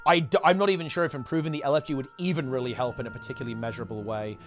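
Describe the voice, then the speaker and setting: A man's voice: medium pitch, steady tone, rapid-fire, faint music behind. A man who streams games, chatting casually in a blunt, slangy, conversational way. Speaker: game streamer. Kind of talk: casual gaming chat.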